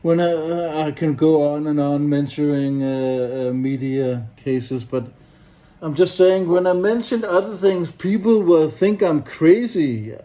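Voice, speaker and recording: A man with a Danish accent giving a rambling, casual monologue, hesitant and halting, with blunt swearing. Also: a little high, dark tone. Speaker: solo activist and vlogger.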